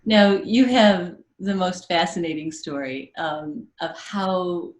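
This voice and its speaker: A woman's voice: on the low side, takes it slowly. A woman hosting a talk show, effusive as she introduces and questions her guest. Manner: warm, effusive. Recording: echoey studio with faint music.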